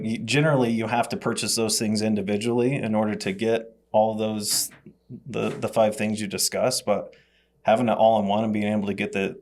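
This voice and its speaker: A man speaking as a podcast panelist in a conversational business discussion. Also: fairly low pitch.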